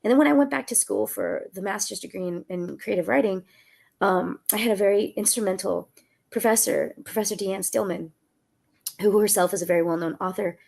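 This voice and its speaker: A woman tells stories from her own life in an easy, conversational way, laughing often. She sounds a little congested from a cold. Her voice has a gravelly, creaky texture. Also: mid-range voice, a little fast. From Southern California. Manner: laughs often, warm and storytelling. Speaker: author guest. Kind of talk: conversational book talk.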